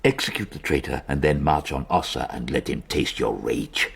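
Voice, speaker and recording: Husky, commanding wizard counsellor. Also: male voice, extremely low pitch, lively intonation, moderate pace, exaggerated voice. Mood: urgent, commanding. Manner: imperious, urgent, exhorting. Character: commanding, urgent male wizard counsellor, proud and fiercely pagan. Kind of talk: radio drama acting.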